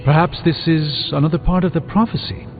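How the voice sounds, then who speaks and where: An actor playing a learned, dry-witted sorcerer superhero in a video game, speaking in a measured, articulate way. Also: male voice, fairly high pitch, steady tone, lightly acted. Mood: pensive, grave. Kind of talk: video game dialogue acting. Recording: muffled thin band, reverberant, music behind.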